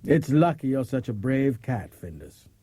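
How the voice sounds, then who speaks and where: A man's voice: medium pitch, big pitch swings, unhurried, mellow, husky, dry recording. A man playing a kindly, fretful older farmer in an audiobook, coaxing and persuading. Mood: reassuring. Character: kindly, worried older male farmer coaxing his cat. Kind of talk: audiobook character acting. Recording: cassette transfer with faint music.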